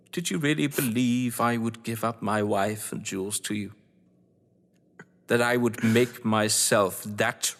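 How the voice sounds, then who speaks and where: An actor playing a cold, gloating crime novelist and betrayed husband in an audio drama, speaking with eloquent, taunting menace. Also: male voice, medium pitch, a bit bright. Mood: bitter hatred. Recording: reverberant hall-like room.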